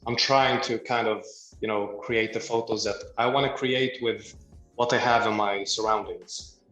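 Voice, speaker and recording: A man's voice: on the low side, steady tone, moderate pace, a bit bright, echoey room, soft background music, rough recording. A young man from Libya, raised in Sweden, answering interview questions casually with many fillers and light laughs. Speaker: guest photographer and doctor.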